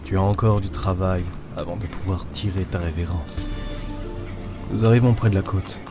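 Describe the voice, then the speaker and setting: A man's voice: deep voice, steady tone, normal speed, lightly acted. A man playing Death's stern, ominous companion, speaking solemnly in an audio drama. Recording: clear music behind the voice.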